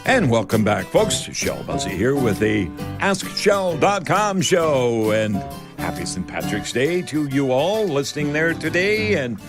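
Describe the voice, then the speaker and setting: A Canadian man hosting a call-in radio show, chatting casually in a folksy, rambling, halting way. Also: mid-range voice, big pitch swings, music in the background. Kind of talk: casual live radio chat.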